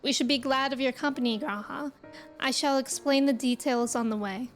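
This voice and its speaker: A woman plays a courteous young fantasy-game nobleman with a high voice. He speaks earnestly in formal, old-fashioned phrases over faint background music. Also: normal speed, lightly acted.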